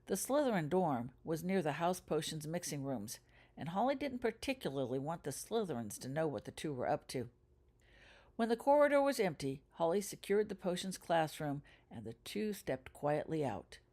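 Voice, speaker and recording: A woman's voice: sits low, big pitch swings, normal speed, darkish tone. A woman narrating a story as an author reading their own fiction, in a scripted audiobook style.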